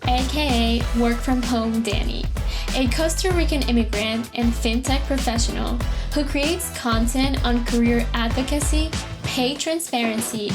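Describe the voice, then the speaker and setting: A woman hosting a podcast, reading a scripted introduction and then chatting softly and casually with her guest. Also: a little high. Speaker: podcast host. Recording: music bed under the voice.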